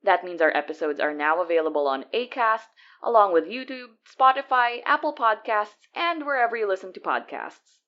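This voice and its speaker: A woman's voice: medium pitch, lively intonation, moderate pace, few pauses, lo-fi sound. A woman reading a scripted podcast outro as co-producer, with credits and announcements for listeners.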